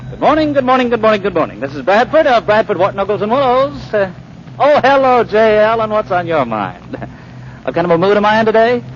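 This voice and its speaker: A cocky young playboy chatters glibly in an old radio comedy. His voice is fairly high and swoops about, on a dull vintage recording. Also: sing-song, fairly quick. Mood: breezy, playful. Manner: flippant, glib. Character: breezy, cocky young playboy turned eager businessman. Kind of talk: 1940s radio acting. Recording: dull, noisy 1940s radio broadcast.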